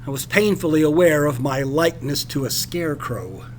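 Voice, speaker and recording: A man reading a literary passage as expressive scripted narration, with dramatic, deliberate phrasing. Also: on the high side, slight background noise.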